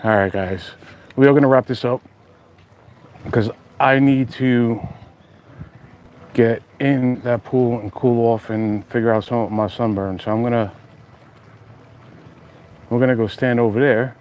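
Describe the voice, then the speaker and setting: A man's voice: mid-range voice, fairly even intonation, measured pace, long pauses, a little mellow, slight background noise. A man with a New York accent hosting a casual outdoor live stream, chatting and joking with viewers. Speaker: outdoor channel host. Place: outdoors at a beach house.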